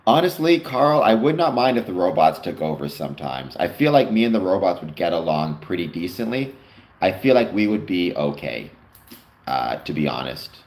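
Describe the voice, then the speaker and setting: A man's voice: low voice, big pitch swings, moderate pace, darkish tone. A Canadian man hosting a casual livestream, rambling with fillers as he answers viewers' comments. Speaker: livestream host. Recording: echoey room.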